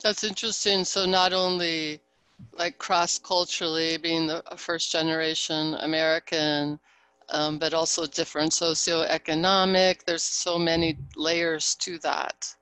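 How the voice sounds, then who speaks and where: A woman's voice: sits low, little pitch movement, a little slow, a bit bright, clear, resonant tone, no room sound, poor audio. A middle-aged woman hosting an interview on a video call, asking encouraging questions. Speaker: podcast host. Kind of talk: interview host. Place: home office, indoors.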